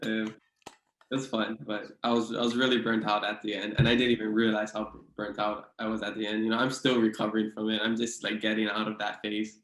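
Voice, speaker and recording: A young man from Hawaii, a native Hawaiian speaker, chatting easily as a guest. His voice sits fairly low, and he drifts through his thoughts with many ums and restarts. The room around him rings noticeably. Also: a little fast, echoey room, rough recording. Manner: relaxed, rambling, many fillers and restarts. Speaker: podcast guest. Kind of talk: casual interview chat.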